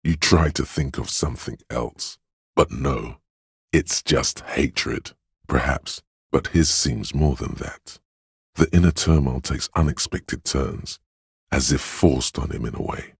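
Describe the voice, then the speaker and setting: A man voicing a solemn, tender inner voice that reads others' feelings in a video game, measured and brooding in delivery. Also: no echo, studio quality, heavily acted. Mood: brooding, gentle. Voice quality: deep, husky, gravelly. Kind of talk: scripted video game narration.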